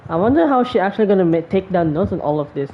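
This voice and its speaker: A woman's voice: mid-range voice, animated, very fast. A woman giving casual, musing commentary as a gaming streamer, in a rambling way. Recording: dull microphone with faint noise.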